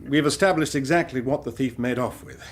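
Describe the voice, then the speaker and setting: Actor as patient English police inspector, medium pitch. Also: male voice, brisk, lightly acted. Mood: patient, awkward. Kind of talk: radio drama acting.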